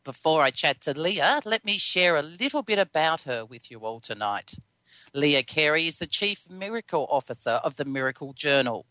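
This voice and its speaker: A woman's voice: sits low, sharp tone, no room sound. A woman with an Australian accent hosting a radio show, chatty and upbeat, with a slightly scratchy voice. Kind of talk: live radio hosting and interviewing.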